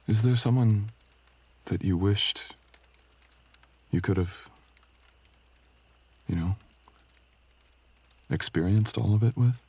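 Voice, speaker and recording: A man playing a brooding, gentle dragonkin stranger in an intimate roleplay audio, speaking softly and hesitantly in courtly phrasing. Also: slow pace, long pauses, exaggerated voice. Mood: teasing, tender. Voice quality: low, gravelly, husky. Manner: soft, hesitant, tender, archaic courtly phrasing.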